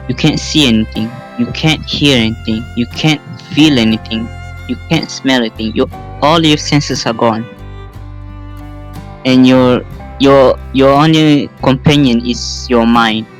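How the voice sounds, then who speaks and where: A man hosts a casual podcast conversation. He talks in a halting, rambling way, and faint plucked guitar music plays behind his voice. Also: mid-range voice. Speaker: podcast host. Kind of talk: casual chat.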